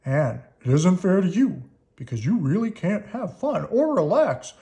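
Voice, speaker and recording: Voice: medium pitch, big pitch swings, unhurried, warm tone, voice pushed up. A storybook reader voicing a stern but fair father bear, lecturing in a firm, emphatic way.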